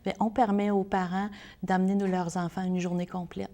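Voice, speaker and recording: A woman's voice: mid-range voice, flat delivery, brisk, warm tone. Interview guest: woman, Québécois accent, mid-range voice, earnest spokesperson explaining a service. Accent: Québécois French.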